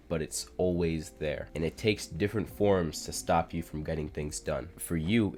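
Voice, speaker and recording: Congested low-voiced man, casual vlog talk. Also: a little mellow. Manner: conversational, frequent "like" and "you know" fillers. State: congested from a cold. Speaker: self-improvement YouTuber.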